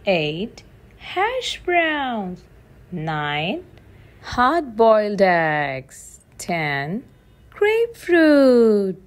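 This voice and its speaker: A woman reading a children's picture book aloud in a deliberate, sing-song way, counting and asking questions. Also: medium pitch, huge pitch swings.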